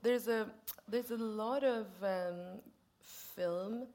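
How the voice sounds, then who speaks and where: Woman interviewer, gravelly fry in her voice, hesitant, meandering questions. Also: medium pitch, extremely slow, a little mellow. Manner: hesitant, searching for words. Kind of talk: literary interview.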